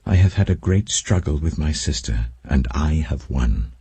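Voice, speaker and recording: A man's voice: rumbling low, expressive, normal speed, warm tone, breathy edge, no echo, full character voice. A man voicing a jealous, demanding young wife in a dramatic scripture audiobook, intense and insistent. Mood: triumphant.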